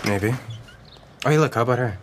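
A man's voice: medium pitch, a little fast, natural voice. An actor playing a tentative, skeptical teenage boy podcaster in an audio drama. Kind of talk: naturalistic audio drama acting. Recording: clear background ambience noise.

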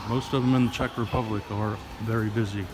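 A man's voice: fairly low pitch, steady tone, quick pace. A middle-aged man, chatting casually and grumbling to a handheld phone camera as he walks. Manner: chatty, grumbling asides while walking. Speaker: vlogger. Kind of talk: casual walking vlog. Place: outdoors on streets, then inside a shopping mall. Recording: noisy handheld phone audio.

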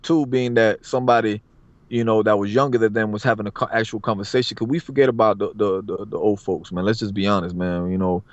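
Low-voiced barber guy, streetwise, keeps saying you know what i mean, muffled lo-fi audio. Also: no room sound. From Florida, USA. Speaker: barber, podcast guest. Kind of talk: casual interview talk. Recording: dull lo-fi audio.

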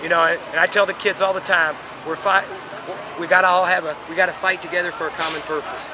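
A man's voice: high-pitched, little pitch movement, fast. A middle-aged American man, a football coach, talking earnestly in a postgame interview and often restarting his phrases. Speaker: high school football coach. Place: outdoors at night by a stadium building. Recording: crowd chatter and loud outdoor field noise.

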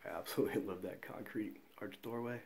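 A man's voice: fairly low pitch, fairly even intonation, quick pace, noisy background. A man exploring derelict buildings, often whispering and exclaiming in awe as he films. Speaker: urban explorer filming. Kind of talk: hushed walkthrough commentary. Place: derelict school buildings indoors.

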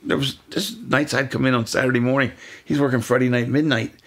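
A man's voice: mid-range voice, fairly quick. An older man, a retired police officer, telling old stories in a casual sit-down conversation and acting out the voices of people he quotes. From Pennsylvania, USA. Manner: animated, acts out quoted voices.

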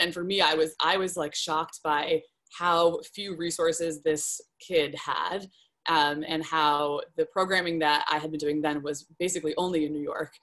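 A woman's voice: deep voice, a bit bright. A young woman speaking casually on a video call panel from home, chatty and full of fillers. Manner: chatty, many ums and likes. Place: home room, indoors. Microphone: laptop webcam video call.

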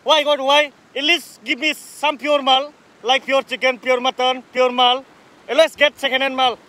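High-pitched Northeast Indian young man, playful. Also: flat delivery, normal speed, pushed and bright. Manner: playful, teasing, repetitive. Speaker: vlog host. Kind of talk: casual joking chat. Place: open grassy campsite outdoors. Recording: outdoor handheld recording with ambient noise.

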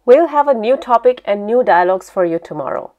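A woman hosting a language lesson, reading a scripted narration in a clear, steady way. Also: on the high side, brisk, lo-fi sound. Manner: clear, steady instructional delivery.

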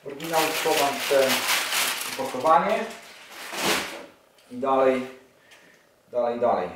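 A man's voice: medium pitch, unhurried. A man in his forties talking casually and enthusiastically as he unboxes motorcycle gear, thinking aloud while he handles it. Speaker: motorcycle vlogger. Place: small indoor room, seated at a table. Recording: echoey room, distant camera mic, audible handling noise.

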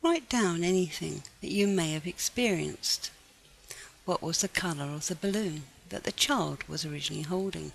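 A woman reading a scripted guided meditation in a gentle, measured, instructive manner. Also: on the low side, vocal fry, breathy edge, rough recording.

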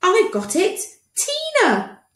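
A fussy, flustered doctor from a children's storybook, as a woman reader puts him on. His voice jumps up high and frets over every little thing. The reading sounds echoey, as if in a bare room. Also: slow, distant mic, exaggerated voice. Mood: chirpy guessing. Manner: fretful, high and excitable.

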